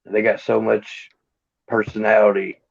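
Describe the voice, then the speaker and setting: Co-host, late 30s man, low gravelly voice, laid-back storyteller, muffled lo-fi stream audio. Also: flat delivery, measured pace. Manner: laid-back, chatty, tells stories. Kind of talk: casual livestream chat.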